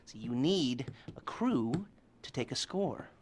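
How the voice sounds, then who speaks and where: An actor playing a creepy, awkward middle-aged criminal planner in a video game, smug and stammering with nervous chuckles. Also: male voice, medium pitch, animated, takes it slowly, a little hiss or hum. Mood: smug, bossy. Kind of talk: video game cutscene acting, halting improvised-sounding speech.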